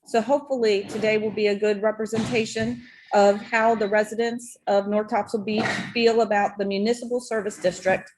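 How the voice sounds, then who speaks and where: A woman, the mayor, chairing a public hearing in a plain-spoken, procedural way with filler pauses. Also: mid-range voice, flat delivery, background noise. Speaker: mayor chairing the board meeting. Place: town meeting room.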